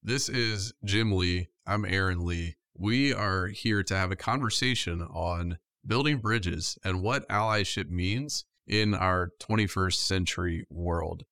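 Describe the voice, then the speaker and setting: A man's voice: deep voice, takes it slowly, few pauses, fairly bright tone, no echo, studio quality. A man with an American accent, hosting a podcast with introductions and interview questions. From Richmond, Virginia, United States. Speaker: podcast host.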